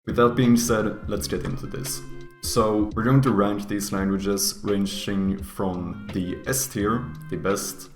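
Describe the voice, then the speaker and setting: A young Swedish-accented man, joking and teasing as he ranks things. His voice sits very low and gravelly, with lots of fry. It sounds roomy and echoey, with faint guitar music behind him. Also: steady tone, slow pace. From Sweden. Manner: joking, teasing, mock-harsh. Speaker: YouTuber. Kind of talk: casual tier-list commentary.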